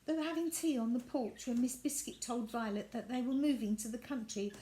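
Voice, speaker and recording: A woman reading a children's storybook aloud as an expressive narrator. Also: a little high, brisk. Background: added sound effects and faint noise.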